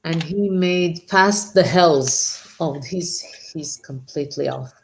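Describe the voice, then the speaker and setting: Co-host: woman with a somewhat low voice, slow reader, relaxed banter. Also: a little hiss or hum, rough recording. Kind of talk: casual chat, reading translations aloud.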